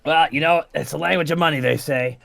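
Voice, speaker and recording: A man's voice: on the high side, fast, gravelly, fairly bright tone, dry recording. A man playing a tabletop game, bantering casually, repeating himself and rambling in and out of character.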